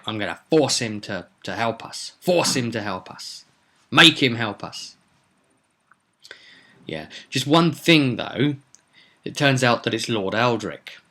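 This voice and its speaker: A man narrating a tabletop roleplay recap as game master, theatrical and emphatic, with deliberate pauses. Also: mid-range voice, sharp tone. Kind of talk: dramatic storytelling recap.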